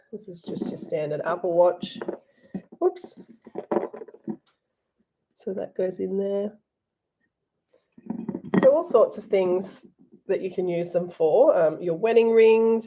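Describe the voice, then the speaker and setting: A woman's voice: fairly low pitch, warm tone, slight background noise, low-quality recording. A woman with an Australian accent and a sore throat, chatting casually to viewers while she crafts on a livestream. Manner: chatty, addresses viewers directly. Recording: faint background music.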